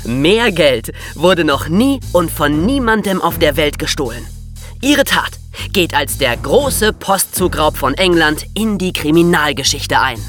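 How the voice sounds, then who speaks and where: A man's voice: a little high, big pitch swings, unhurried, fairly bright tone, soft background music. A young man playing an eager, playful podcast co-host who tells crime stories dramatically in a scripted children's show. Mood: dramatic.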